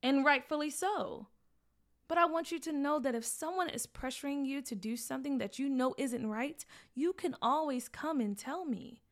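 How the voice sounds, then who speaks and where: A woman's voice: sits high, normal speed, pitched above the performer's own voice. A woman playing a stern, protective mom. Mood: firm. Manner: firm, scolding.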